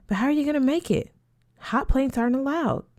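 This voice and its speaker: A woman's voice: high voice, brisk, darkish tone, pitched above the performer's own voice, natural voice. A woman voicing a frank, wry teenage schoolgirl in casual dialogue. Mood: curious.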